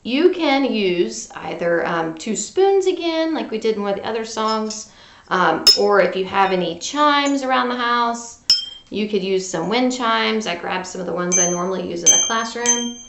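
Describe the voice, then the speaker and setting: A woman teacher talking directly to young children in an animated, conversational read-aloud lesson style. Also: medium pitch, normal speed, gravelly, very noisy. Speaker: music teacher and storyteller for children. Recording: roomy, echoing home recording.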